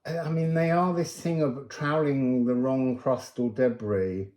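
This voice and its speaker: Male spiritual card reader, relaxed mellow timbre, medium pitch, unscripted and reflective with emphasized phrases. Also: measured pace, roomy sound.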